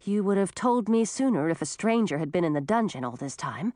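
A woman's voice: medium pitch, sing-song, a little fast, a little mellow, no room sound, clean studio sound, natural voice. A woman voicing a shrewd young princess in a storybook, polite but firm, bold and wary. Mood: wary politeness. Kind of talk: storybook character voice.

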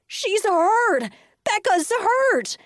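A woman voicing frantic, clamoring schoolchildren in a children's audiobook, with shrill, overdramatic urgency. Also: expressive, unhurried, no room sound, clean studio sound. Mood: panicked. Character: frantic, clamoring schoolkids fussing over a popular girl.

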